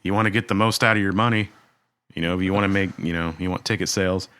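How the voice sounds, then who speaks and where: A young man co-hosting a podcast, telling stories in a relaxed way with lots of fillers. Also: low-pitched, quick pace, clean tone, dry recording. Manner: relaxed storytelling, many fillers. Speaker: podcast co-host. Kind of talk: casual chat.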